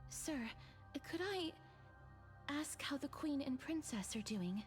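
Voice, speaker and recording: Gentle death maiden: lightly high, breathy, very unhurried, music underneath. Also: female voice, very animated, full character voice. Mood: gentle, solemn. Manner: soft, breathy, slow and deliberate. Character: gentle, solemn young maiden of death, softly composed. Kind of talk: video game voice acting.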